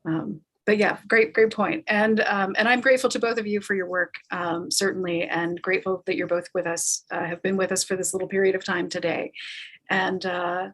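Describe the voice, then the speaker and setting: A woman hosts an online talkback in an informal way. Her phrasing is measured and halting, with pauses as she works toward each point. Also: medium pitch, level tone, a bit bright. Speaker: talkback host. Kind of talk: informal hosting of an online panel.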